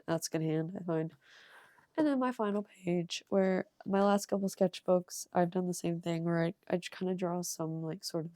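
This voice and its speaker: Slightly hoarse young woman, dry close mic. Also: on the low side, no room sound. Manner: casual and rambling, with frequent ums and soft laughs. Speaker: art channel creator. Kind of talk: casual sketchbook tour voice-over.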